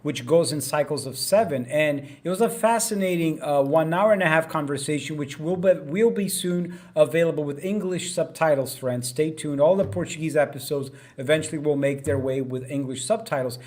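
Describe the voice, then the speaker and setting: A man's voice: on the high side, normal speed, hardly any pauses, darkish tone. A man hosting a conversational interview, speaking emphatically and chiming in on the guest's points. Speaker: host.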